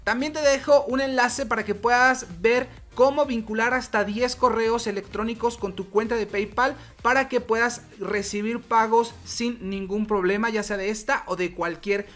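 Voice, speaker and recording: A woman with a somewhat high voice narrates a screen-recorded walkthrough. She explains each step in a steady, even way and speaks directly to the viewer as the channel host. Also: normal speed, few pauses. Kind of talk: screen-recorded tutorial voice-over.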